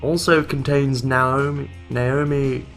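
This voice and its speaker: A man who is a bit ill reading fan fiction aloud in a deadpan comic way. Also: mid-range voice, slow, soft background music. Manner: deadpan reading, breaking into laughter. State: ill with a headache.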